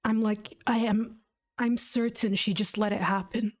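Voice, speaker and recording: A woman's voice: medium pitch, steady tone, measured pace, dry recording, clean studio sound. Emotionally invested woman game streamer. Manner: emotionally invested, sweary, thinking aloud. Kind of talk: casual reaction commentary. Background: faint game music.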